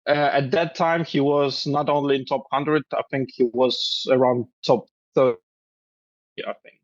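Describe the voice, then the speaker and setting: A man's voice: medium pitch, fairly even intonation, a little slow, dry recording, highs cut off. A man in his twenties from Belarus, a chess grandmaster and coach, answering interview questions with many fillers. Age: 20s. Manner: hesitant, many fillers, laughs lightly.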